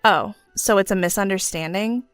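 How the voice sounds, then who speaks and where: A wronged young wife, played by a woman narrator, firm and composed. Her curt lines are in a middle pitch, dry, with music underneath. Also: normal speed, dry recording, close to an everyday voice. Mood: cold, composed. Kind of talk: audio story dialogue.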